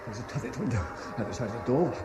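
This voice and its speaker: A man's voice: mid-range voice, lively intonation, racing, loud music behind the voice, natural voice. A British actor playing an anxious, lovesick man terrified of heights in a radio drama, pleading and urgent. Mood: panicked. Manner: pleading, urgent, emotionally wrought. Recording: echoey, noisy vintage radio drama recording.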